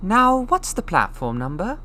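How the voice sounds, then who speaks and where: Man voicing a bustling motherly woman, pitched up. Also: huge pitch swings, pushed and bright, full character voice. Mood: chatty, brisk. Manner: motherly, brisk, reassuring. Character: plump, kindly, bustling middle-aged mother of a big family. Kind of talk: audiobook character voice.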